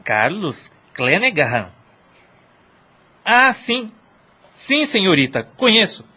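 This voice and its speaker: Woman as slow, sing-song hotel receptionist. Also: medium pitch, sing-song, pushed and bright, breathy edge, full character voice. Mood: helpful. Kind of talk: Spiritist radio drama acting.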